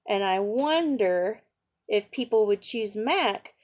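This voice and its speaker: A woman reading aloud to children as a storytime teacher, gently explaining and asking questions along the way. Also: mid-range voice, lively intonation, takes it slowly.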